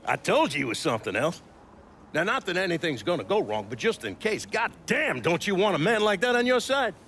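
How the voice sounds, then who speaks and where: An actor playing a gregarious but ruthless older mob boss in a video game, folksy, profane and always selling. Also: male voice, sits high, very animated, brisk, fairly bright tone, slight background noise, heavily acted. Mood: genial, persuasive. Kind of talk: cinematic video game dialogue. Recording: music behind the voice.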